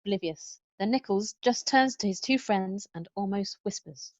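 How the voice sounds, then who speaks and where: A woman's voice: medium pitch, moderate pace, no room sound, highs cut off. A woman reading stage directions as a narrator in an amateur screenplay read-through.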